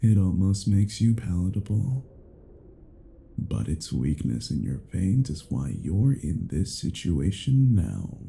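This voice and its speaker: A man's voice: low-pitched, big pitch swings, takes it slowly, vocal fry, warm tone, slight background noise, heavily acted. A man playing a cruel, domineering vampire in a roleplay audio drama, speaking in a menacing, condescending manner with formal, archaic phrasing. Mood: menacing, disdainful. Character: cruel, domineering male vampire toying with captive werewolf.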